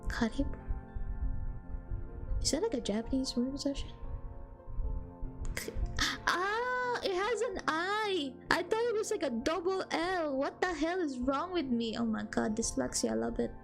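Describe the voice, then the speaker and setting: A woman's voice: high voice, animated, unhurried, gravelly, pauses often, soft background music. A young woman from the Philippines with a Filipino accent, chatting casually and playfully with her stream viewers, giggling and rambling.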